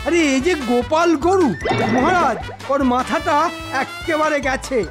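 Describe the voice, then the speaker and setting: Shrill, whining cartoon minister. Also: male voice, unhurried. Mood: peevish. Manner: shrill, wailing, theatrical. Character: whining, scheming male royal minister. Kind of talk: animated comedy voice acting. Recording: loud background music.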